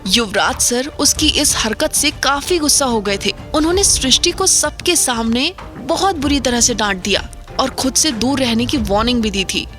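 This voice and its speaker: A woman's voice: a little high, moderate pace, a bit bright, soft background music, natural voice. A woman voicing a playful young male college student who teases his friend and tells a story, in an audio drama. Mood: storytelling. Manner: teasing, chatty storyteller. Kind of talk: Hindi audio drama acting.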